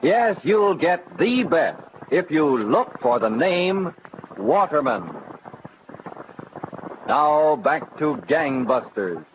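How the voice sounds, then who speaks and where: A man's voice: on the high side, very animated, takes it slowly. A man announcing a 1940s radio advertisement with emphatic, polished salesmanship. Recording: tinny, noisy old radio recording.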